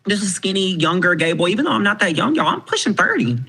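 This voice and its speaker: Man, twenties, bright voice, animated, outspoken, casual podcast guest. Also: high-pitched, quick pace, gravelly, breathy edge. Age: 20s. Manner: animated, frank, swears freely, says "y'all".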